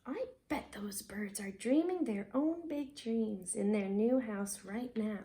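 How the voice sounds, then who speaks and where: A woman with an American accent voicing eager young twin boy builders in a storybook, earnest and expressive. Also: mid-range voice, takes it slowly. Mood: sleepy wondering. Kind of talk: storybook character dialogue.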